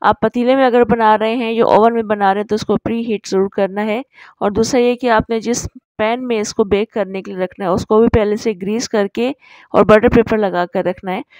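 A woman's voice: mid-range voice, fairly even intonation, a little fast, darkish tone, no room sound, poor audio. A woman narrating a recipe tutorial as a voice-over, explaining each step in an instructive way. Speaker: cooking channel host.

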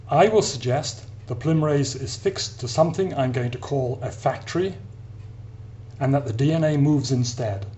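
A man giving an explanatory science narration as an academic lecturer, in a measured, deliberate manner with pauses between phrases. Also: mid-range voice, dark tone, dull audio. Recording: clear background hiss and hum.

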